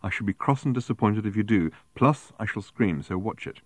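A self-possessed young woman in a storybook read by a man, lively in intonation and closely recorded. She is brisk and teasing, with a playful sternness. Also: fairly low pitch, no room sound. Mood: teasing, stern.

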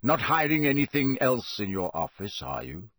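Gruff old storybook dark-wizard hunter played by a man: growling, suspicious, menacing, slow and needling. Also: medium pitch, sing-song, no echo, studio quality.

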